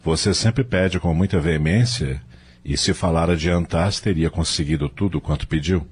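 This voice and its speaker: Male actor as earnest spirit guide, husky low voice, measured teaching manner, audio novel. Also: darkish tone. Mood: instructive. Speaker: guide at a spirit-world records centre.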